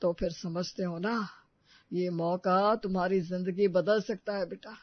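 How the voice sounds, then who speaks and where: A man's voice: sits high, steady tone, unhurried, no echo, highs cut off. A man playing a worried, earnest retired father counselling his son in a story drama. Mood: earnest, urging. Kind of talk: acted story dialogue.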